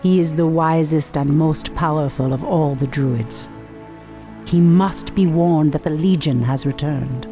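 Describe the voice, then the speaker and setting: A woman's voice: low voice, a little slow, dark tone, faint music behind. A woman playing a commanding, solemn night elf priestess who leads warrior sisters in a fantasy game cutscene, speaking formally and with authority. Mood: grave, resolute.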